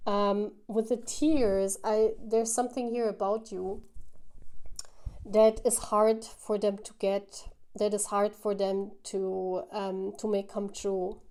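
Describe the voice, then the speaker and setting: A woman with a relaxed, mellow voice of medium pitch hosts a tarot reading. She speaks casually and directly to her viewers. Her delivery is musing and halting, as she works out the meaning while she talks. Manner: musing, halting, thinking aloud. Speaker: tarot reader, host.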